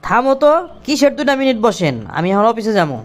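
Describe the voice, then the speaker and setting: A man's voice: very high voice, big pitch swings, quick pace. A man playing an irritable, scornful two-timing husband and office boss in a cartoon drama, curt in manner. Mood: annoyed. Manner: scolding, curt.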